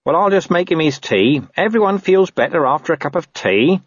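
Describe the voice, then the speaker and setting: Man doing a high, flustered, wailing corporal. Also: lively intonation, fairly quick, husky, no room sound, muffled recording. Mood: resigned. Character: anxious, placating, put-upon male police corporal.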